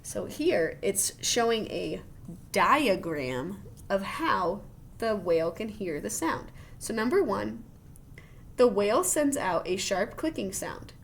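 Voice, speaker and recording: Animated woman reading aloud to children. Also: mid-range voice, slow pace, gravelly, a little hiss or hum. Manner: expressive, exclaiming with wonder, encouraging to listeners. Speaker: teacher reading a children's science book aloud. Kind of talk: animated read-aloud with chatty asides to children.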